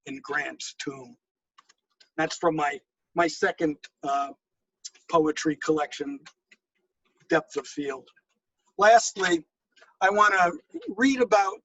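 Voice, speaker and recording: High-pitched man reading poetry, slow and gravelly. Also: a bit bright, dry recording, poor audio. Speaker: poet reading own work. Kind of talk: poetry reading with spoken introductions.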